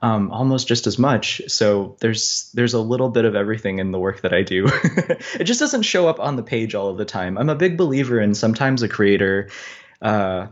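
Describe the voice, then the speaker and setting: A man's voice: lowish voice, lively intonation, a little fast, dull audio. A man talking as a guest cartoonist in a casual, thoughtful interview, explaining things in an articulate, chatty way. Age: adult.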